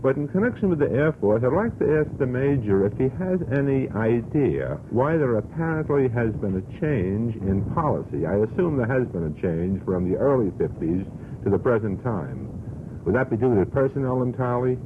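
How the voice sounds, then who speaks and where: A man's voice: mid-range voice, sing-song, moderate pace. A man on a radio panel speaks in a formal, wordy and courteous way, with some hesitation as he builds his points. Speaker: panelist questioning guests. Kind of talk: measured radio panel discussion. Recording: tinny old radio recording, faint noise.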